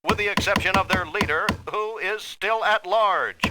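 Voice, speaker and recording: An actress playing a dramatic, formal TV news anchor, delivering a scripted report with grave emphasis. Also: female voice, medium pitch, very noisy, natural voice. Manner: measured, grave, emphatic newsreader phrasing. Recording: loud music behind, game audio.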